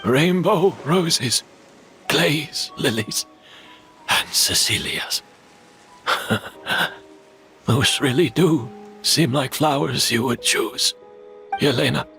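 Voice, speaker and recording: A man's voice: medium pitch, lively intonation, creaky, sharp tone, soft background music, exaggerated voice. An actor playing a dying master perfumer, tearful and wistful, his voice breaking and sinking to whispers. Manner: slow, halting, drops to whispers. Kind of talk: game character dialogue.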